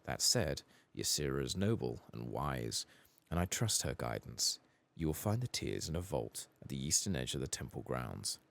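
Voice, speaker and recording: A very deep, creaky temple guardian, acted out by a man, speaks softly and gravely in fantasy game dialogue. The delivery is formal and measured, full of devout solemnity. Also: very animated, heavily acted. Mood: reverent, grave. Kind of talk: soft-spoken reading of video game dialogue.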